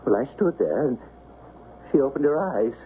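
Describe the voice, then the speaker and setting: An actor playing a nervous, apologetic hotel guest and failed thief, stammering and hesitant, in radio drama style. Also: male voice, mid-range voice, expressive, normal speed, lightly acted. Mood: confessing. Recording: muffled, noisy old radio broadcast.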